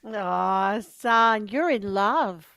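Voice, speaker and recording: A woman's voice: medium pitch, expressive, very slow, a bit bright, dry recording, clean studio sound, exaggerated voice. A woman playing a nagging, fussing mother. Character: fussing, encouraging mother of a grown son. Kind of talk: vintage radio play acting.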